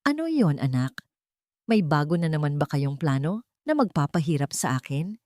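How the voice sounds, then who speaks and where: A stern old matriarch voiced by a woman storyteller scolds and questions indignantly. The pitch swings widely and often rises, in a dry audio drama recording. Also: sing-song, moderate pace, no echo. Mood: suspicious. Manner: sharp rhetorical questioning.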